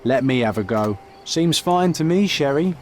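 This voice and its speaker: An actor playing a teasing, nonchalant young gentleman companion to a detective in a video game. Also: medium pitch, expressive, normal speed, slight background noise, natural voice.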